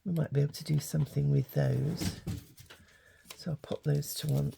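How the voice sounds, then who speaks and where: An older woman, croaky with hay fever, chatting casually as she works through a craft tutorial. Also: sits low, normal speed, background noise. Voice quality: gravelly. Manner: chatty, relaxed, thinking aloud. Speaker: craft channel host.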